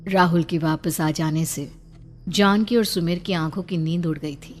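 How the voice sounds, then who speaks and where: A woman's voice: on the low side, steady tone, moderate pace, soft background music. A woman reading scripted horror story narration in a suspenseful, dramatic way.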